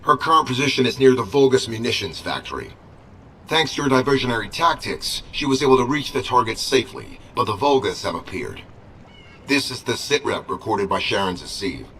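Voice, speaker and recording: An actor playing a formal male military commander in a video game, delivering deliberate intelligence reports. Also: medium pitch, sing-song, a bit bright, slight background noise. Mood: serious, formal. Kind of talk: scripted game dialogue.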